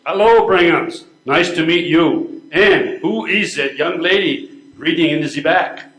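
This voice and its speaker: Older man playing a flamboyant, echoey, high-pitched artiste. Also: a little slow, fairly bright tone. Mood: grandly cheerful. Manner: theatrical, sprinkled with French phrases. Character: flamboyant pompous would-be continental painter from Boston. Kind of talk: amateur readers theater acting. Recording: echoey room recording.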